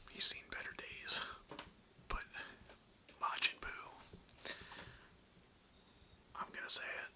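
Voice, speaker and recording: Casting: young adult ASMR host, breathy whisper with very sharp edge, loose and slangy rambler. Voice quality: breathy, mostly unvoiced whisper. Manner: casual, rambling, slangy and sweary. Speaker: ASMR video creator and host.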